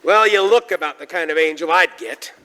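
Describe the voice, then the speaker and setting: A man's voice: fairly high pitch, fairly quick, sharp tone, long reverb tail, low-quality recording. A man playing a despairing, skeptical small-town everyman in a 1940s-style radio drama, stammering and sardonic. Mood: bewildered, sardonic. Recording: live stage, audience and background noise.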